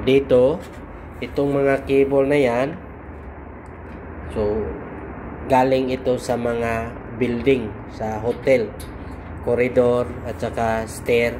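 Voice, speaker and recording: A Filipino man giving an informal instructional walkthrough, speaking haltingly in short phrases with pauses. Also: medium pitch. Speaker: host of an electronics how-to video. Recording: clear background noise, vehicle-like hum.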